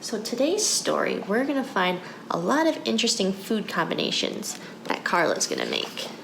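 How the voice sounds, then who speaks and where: A woman's voice: medium pitch, huge pitch swings, normal speed, creaky. A woman reading a picture book aloud for children as a storyteller, addressing them directly. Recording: echoey room with background noise.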